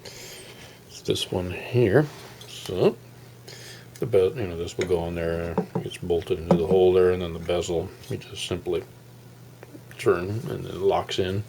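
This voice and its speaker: A man with a very low, gravelly voice talks through a hands-on project in a casual, unscripted way. He rambles and halts as he explains, in a folksy manner. Some faint noise sits behind his voice. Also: fairly even intonation, a little slow. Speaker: hobbyist radio restorer presenting his project.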